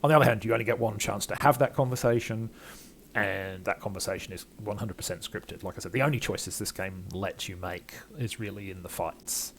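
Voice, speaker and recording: A man's voice: on the low side, a little fast, a little hiss or hum. An older man hosting a game playthrough with casual, rambling, self-deprecating commentary. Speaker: gaming video host.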